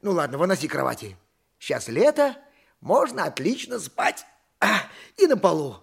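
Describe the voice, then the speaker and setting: An actor playing a stubborn, pious, excitable donkey driver, a working-class husband, in a theatrical audio play. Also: male voice, high-pitched, normal speed, creaky, breathy edge, exaggerated voice. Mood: indignant. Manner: theatrical, indignant, chuckling cunningly. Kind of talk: audio play stage acting.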